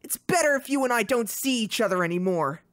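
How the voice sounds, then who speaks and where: A woman voicing a brooding, put-upon male cartoon duck in a comic parody dub, halting, exasperated and dramatic. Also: fairly high pitch, lively intonation, close to an everyday voice. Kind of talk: live comic dub reading.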